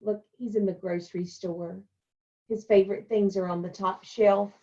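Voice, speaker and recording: A woman reading a children's storybook aloud and talking to young pupils in simple, deliberate phrases. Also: medium pitch, fairly even intonation, mellow, dull audio. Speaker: elementary school staff member reading a picture book to children.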